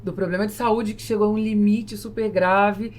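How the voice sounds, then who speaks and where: A woman's voice: mid-range voice, steady tone, moderate pace, darkish tone. A woman with a Brazilian accent hosting a conversational business interview. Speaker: podcast host. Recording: clear background noise, room ambience.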